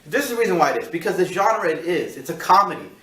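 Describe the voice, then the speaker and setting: A man hosting a casual film review, talking in a rambling, halting way and repeating himself as he searches for words. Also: high voice, very animated, pushed and bright. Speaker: film review show host. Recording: echoey room, distant mic.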